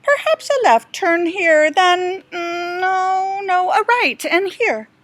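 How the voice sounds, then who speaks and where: A woman's voice: very high voice, animated, slow, a bit bright, no room sound, voice pushed up, full character voice. A woman voicing a befuddled lost male jungle guide in a storybook read-aloud, murmuring hesitantly and absent-mindedly to himself. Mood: dithering.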